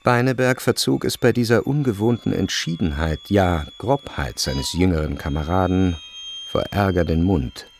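A man narrating a literary radio drama in scripted, measured and expressive storytelling. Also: sits low, creaky, slight background noise.

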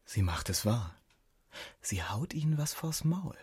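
An actor playing an easygoing, teasing technician on a cyber agent's team in a radio drama, casual and wry. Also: male voice, mid-range voice, big pitch swings, measured pace, darkish tone, slightly rough, full character voice. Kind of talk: German radio drama acting.

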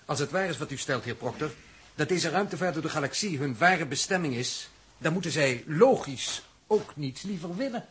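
A man's voice: a little high, lively intonation, normal speed, a bit bright. An actor in a 1960s science-fiction radio drama playing an earnest, concerned spaceship crewman who reasons logically. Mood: concerned, reasoning. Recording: noisy old radio recording with clicks.

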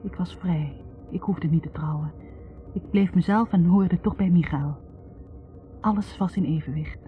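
A woman's voice: on the low side, measured pace, faint music behind, natural voice. An actress playing a self-assured, independent young woman recalling her love in a 1960s radio drama. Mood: wistful, content. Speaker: actor in a radio play. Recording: thin, phone-like 1960s radio recording.

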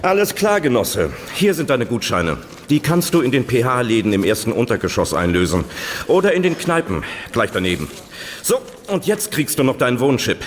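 An actor playing a brusque, mocking, comradely reception clerk in a radio play, recorded with long echo. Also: male voice, mid-range voice, very animated, normal speed, close to an everyday voice. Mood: brusque, businesslike. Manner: brusque, flippant. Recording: echoing hall, faint background noise.

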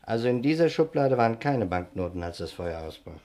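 An actor playing a composed, probing fire investigator in a 1960s German radio crime drama, matter-of-fact and questioning. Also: male voice, mid-range voice, very animated, fairly quick, warm tone, slight background noise, close to an everyday voice. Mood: probing, dry.

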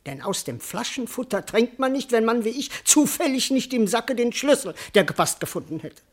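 An actor playing a cowardly, bewildered servant in a classical verse radio comedy, wordy, plaintive and comically indignant. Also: male voice, extremely high pitch, very animated, moderate pace, heavily acted. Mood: resigned. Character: bewildered, cowardly male servant doubting his own identity.

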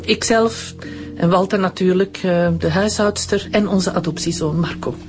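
An actress with a Flemish accent playing a cool, controlled widowed doctor. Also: female voice, on the low side, moderate pace, a little mellow, soft background music, lightly acted. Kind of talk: radio drama acting.

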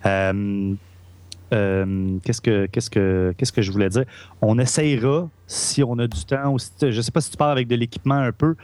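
A man's voice: medium pitch, fairly even intonation, moderate pace, darkish tone, no room sound. A man with a Québécois French accent hosting a casual podcast chat, hesitant, with many fillers and restarts. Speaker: podcast host.

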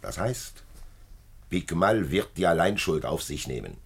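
A calm, shrewd commissioner in a 1950s radio crime drama. The voice sits very low, husky and full of gravel. He explains hard truths in a measured, matter-of-fact way. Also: heavily acted. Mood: resigned, matter-of-fact. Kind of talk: 1950s German-language radio play acting.

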